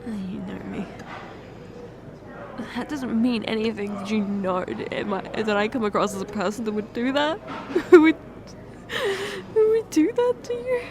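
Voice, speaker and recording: A young woman performing an emotional roleplay confession, halting and tearful, with many sighs and restarts. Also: sits high. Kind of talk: emotional ASMR fiancée roleplay monologue.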